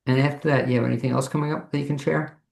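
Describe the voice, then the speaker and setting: A man hosting a podcast interview, asking his questions politely and a little haltingly. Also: mid-range voice, steady tone, creaky, a little mellow. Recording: roomy, echoey sound.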